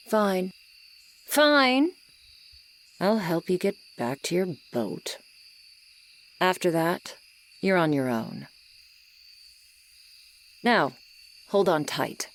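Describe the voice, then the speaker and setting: A woman playing a gruff, lonely swamp monster girl who was once human, in a grudging, guarded and intimate ASMR roleplay delivery. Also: fairly low pitch, sing-song, drawn-out words, pauses often, slight background noise, heavily acted. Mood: grudging, brusque. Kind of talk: ASMR roleplay, acted monologue to the listener. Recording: swamp ambience of crickets and frogs. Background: insects and frogs, swamp night ambience.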